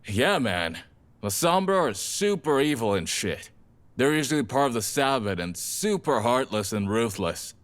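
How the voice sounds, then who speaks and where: A man's voice: medium pitch, very animated, a little slow. Laid-back slangy young vampire guy, acted by a man. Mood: shocked. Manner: casual slangy talk. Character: laid-back, friendly young male vampire gang member. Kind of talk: audio drama dialogue.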